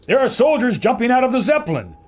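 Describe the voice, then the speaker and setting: An actor playing a brash, boastful adventurer filmmaker who talks with a gruff swagger. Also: male voice, very high-pitched, sing-song, fast talker, exaggerated voice. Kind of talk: audio drama acting.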